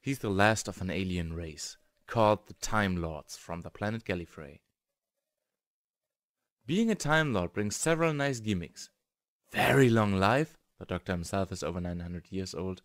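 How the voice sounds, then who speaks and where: Low-voiced man narrating a review. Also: animated, normal speed, no room sound, clean studio sound. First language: German. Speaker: reviewer and host.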